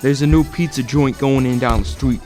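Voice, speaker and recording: An actor playing a familiar, deferential pizzeria underling to a mobster in a comedic audio drama. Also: male voice, medium pitch, steady tone, moderate pace, a little mellow, soft background music, natural voice. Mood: grave, reluctant. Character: loyal, eager male pizzeria underling to a mobster.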